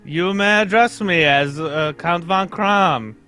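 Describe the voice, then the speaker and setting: A haughty royal visitor in an old-time radio play, acted by a man. He speaks in a high, forceful voice, formal and pompous, with halting pauses. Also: soft background music, exaggerated voice. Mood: guarded, formal.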